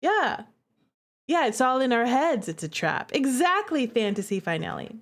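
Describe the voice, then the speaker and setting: Woman, high-pitched, animated, casual livestream commentary, chatty asides, theatrical delivery. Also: moderate pace. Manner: animated, theatrical, acts out reactions; conversational asides to chat. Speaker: game streamer and developer.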